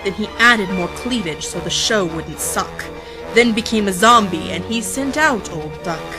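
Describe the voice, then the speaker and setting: A woman reads a rhyming parody storybook as its narrator, slowly and theatrically. She is playful and dramatic, leaning on key words, with music underneath. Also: medium pitch, expressive, low-quality recording. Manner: dramatic, playful, drawn-out emphasis on repeated words.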